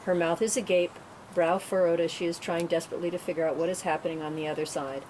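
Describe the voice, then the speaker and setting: Low-voiced woman narrating, noisy recording. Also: fairly even intonation, quick pace. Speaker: narrator reading stage directions. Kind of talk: scripted narration at a table read. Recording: clearly noisy room recording.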